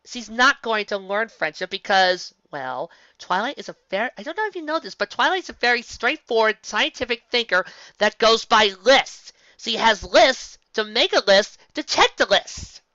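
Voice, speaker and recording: A woman casually commenting on a fan story she reads aloud. She rambles, restarts and corrects herself, and jumps up in pitch for emphasis. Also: normal speed, dry recording, muffled recording. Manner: animated, jumping up in pitch for emphasis. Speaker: fan fiction reader and commentator.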